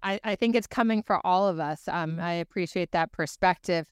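Clear-voiced woman hosting an interview. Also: fairly low pitch, normal speed, no echo, clean studio sound. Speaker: podcast host, interviewer. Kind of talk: conversational interviewing.

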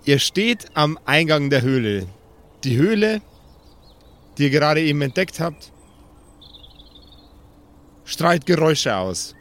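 Man, game master, higher voice, slow deliberate pace, atmospheric improvised tabletop narration. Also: big pitch swings, a bit bright.

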